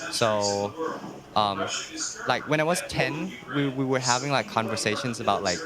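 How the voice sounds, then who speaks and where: A young man from Thailand, answering interview questions in English and pausing often with "um" and "like". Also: medium pitch, a loud crowd, low-quality recording. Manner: hesitant, full of "um" and "like" fillers. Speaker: interview guest.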